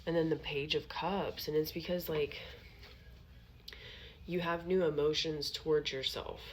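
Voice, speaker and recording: A young woman with a low, nasal, congested voice reads tarot cards for her viewers in a chatty, reassuring way. She is sniffly from allergies and keeps checking in with "okay?" as she goes. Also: fairly even intonation, a little slow, slight background noise. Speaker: tarot reader. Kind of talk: casual tarot reading to viewers.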